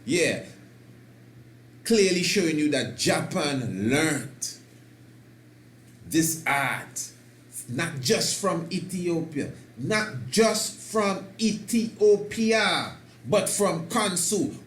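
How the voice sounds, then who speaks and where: A man hosting a talk, speaking slowly and emphatically and building to excited declarations. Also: on the high side. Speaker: host. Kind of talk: preaching talk. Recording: roomy echo with faint noise.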